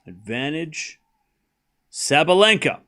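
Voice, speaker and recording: A man's voice: fairly high pitch, animated, takes it slowly, pushed and bright. A man with a mild Australian accent hosting a live tennis watchalong, mixing commentary with casual chat and repeating phrases for emphasis. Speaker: livestream watchalong host and commentator.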